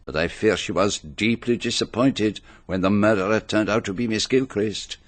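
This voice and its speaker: Shrewd Belgian French gentleman sleuth for radio drama, lowish pitch, formal, measured, courtly delivery. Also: husky, heavily acted.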